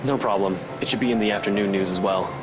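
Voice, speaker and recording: A stern detective from a visual novel game, played by a man, with a low voice. He speaks in a firm, clipped way with dry wit and looks out for his friends. Music plays clearly behind him. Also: steady tone, fast, close to an everyday voice, low-quality recording. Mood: firm, exasperated.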